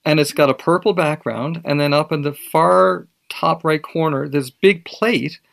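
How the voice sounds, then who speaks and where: A radio co-host with a gravelly, creaky voice, chatting casually on air. Reacts eagerly with quick interjections and keeps the conversation moving. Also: mid-range voice.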